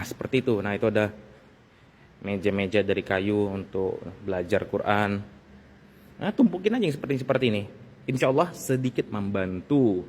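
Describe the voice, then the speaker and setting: A man's voice: fairly low pitch, a little mellow, a little hiss or hum. A man gives a casual, conversational explanation as a vlog host, repeating phrases for emphasis. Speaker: sound technician and video host.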